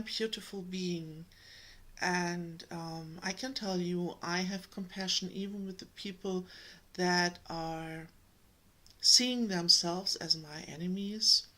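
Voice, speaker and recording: German lady talking real slow n gentle about spiritual stuff, lower voice but bright tone. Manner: slow, gentle, with many pauses and ums. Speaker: spiritual coach. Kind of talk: reflective spiritual talk.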